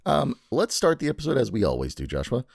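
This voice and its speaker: A man's voice: medium pitch, huge pitch swings, fast talker, gravelly, breathy edge, dry recording, studio quality. A man hosting a podcast in casual chat, playfully teasing and swearing freely. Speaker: podcast host.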